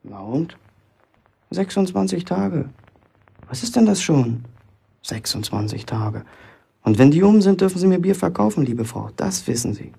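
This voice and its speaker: A cocky young bricklayer's apprentice in a radio play. His voice is husky with a creaky edge. He talks with boastful, indignant self-importance. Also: on the high side, big pitch swings, measured pace, pauses often, dark tone, full character voice. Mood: indignant, grumbling. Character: cocky, grumbling fifteen-year-old apprentice bricklayer boy. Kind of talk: German radio drama acting.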